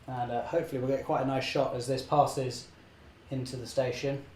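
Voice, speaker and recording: A young man, slightly hoarse, chatting cheerfully through a live model railway session and correcting himself often. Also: medium pitch, level tone, brisk, darkish tone, reverberant, a little hiss or hum. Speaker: model railway channel host. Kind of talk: live-stream running commentary.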